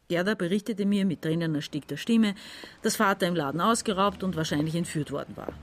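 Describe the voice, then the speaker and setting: An actress playing a resentful, calculating daughter in her forties, giving a measured, confessional radio-drama monologue. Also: on the low side, slightly rough. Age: middle-aged. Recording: ambient noise behind the voice.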